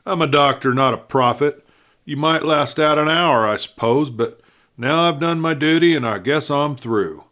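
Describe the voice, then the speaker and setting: A man's voice: fairly high pitch, moderate pace, fairly bright tone, pitched above the performer's own voice, natural voice. A man voicing a brusque, waspish small-town doctor of the Old West in an audiobook, curt and snappish. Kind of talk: acted audiobook dialogue.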